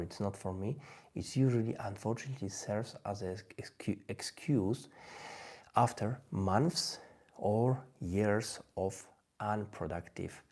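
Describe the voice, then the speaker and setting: A man with a Polish accent talking to camera about learning languages, halting, with many restarts and "yeah?" tags. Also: on the low side, warm tone. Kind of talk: direct-to-camera talk.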